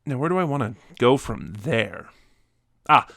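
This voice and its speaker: A man speaks as a reviewer in a casual, conversational style. His delivery is a little halting, as if working out his thoughts aloud, and the sound is dry with little room in it. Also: medium pitch, sing-song, vocal fry, a bit bright, no room sound. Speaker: reviewer ranking favorite audio dramas.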